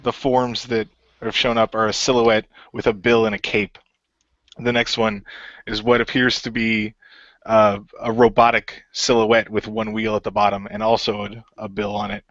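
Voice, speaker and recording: A man's voice: lowish voice, level tone, moderate pace, fairly bright tone, no echo, lo-fi sound. A man narrating an improvised amateur radio play in plain descriptive sentences.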